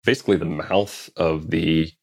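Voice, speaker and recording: A man with a very low, deep voice recounts his time in Special Forces. He talks casually and loosely, circling back with fillers and restarted sentences. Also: steady tone, normal speed. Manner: rambling, many fillers and restarts. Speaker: guest, Special Forces veteran. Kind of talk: casual interview storytelling.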